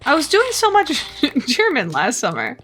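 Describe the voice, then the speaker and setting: A woman's voice: high-pitched, wildly swooping pitch, unhurried, a bit bright, soft background music. An American woman chatting casually on a live stream, rambling and hesitant with many restarts.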